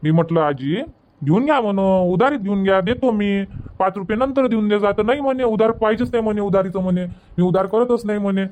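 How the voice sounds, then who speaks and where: कार्टूनमधल्या बोलक्या, तेच तेच सांगणाऱ्या गावाकडच्या कुल्फीवाल्याला वैदर्भीय मराठीत आवाज देणारा पुरुष. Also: male voice, high voice, a little fast, a little mellow, lightly acted. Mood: gossipy. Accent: Vidarbha Marathi. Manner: gossipy, repetitive. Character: chatty male village kulfi street vendor. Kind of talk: cartoon comedy dubbing.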